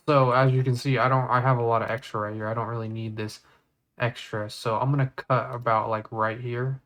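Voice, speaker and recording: A man giving a casual step-by-step software tutorial, informally thinking aloud as he works. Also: medium pitch, little pitch movement, a little fast. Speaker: tutorial creator. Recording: clear background noise, mouse clicks.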